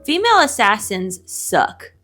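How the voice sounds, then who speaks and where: A woman's voice: medium pitch, big pitch swings, unhurried, sharp tone, soft background music. A woman chatting casually and animatedly with a friend as a co-host, giving opinions in a playfully indignant, slangy way. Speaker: co-host of a bookish video show.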